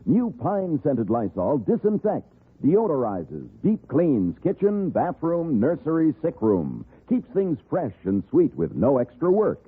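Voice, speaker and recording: A man's voice: on the high side, huge pitch swings, measured pace, breathy edge. A man reading a vintage radio commercial as its announcer. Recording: tinny old radio.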